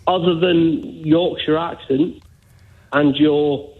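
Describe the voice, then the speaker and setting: A man from Scotland calling a phone-in over a narrow phone line, arguing in a halting, insistent, repetitive way. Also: fairly high pitch, fairly even intonation. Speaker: phone-in caller. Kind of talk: argumentative phone call.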